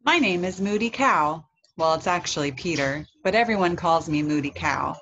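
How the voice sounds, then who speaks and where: A woman's voice: low-pitched, animated, moderate pace, fairly bright tone, lo-fi sound, a little hiss or hum. A woman voicing a sensitive, moody young boy cow in a storybook read-aloud. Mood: earnest.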